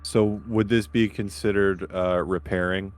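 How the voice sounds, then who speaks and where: Man, deep low pitch, casual chat, tabletop roleplaying player. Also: fairly even intonation, a little slow.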